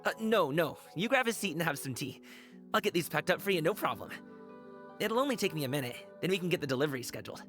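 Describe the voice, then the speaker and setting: An actor voicing a friendly, eager young courier guard and troupe leader in a video game, with a casual manner. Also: male voice, a little high, expressive, fast talker, fairly bright tone, soft background music. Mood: upbeat, friendly. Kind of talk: video game character dialogue.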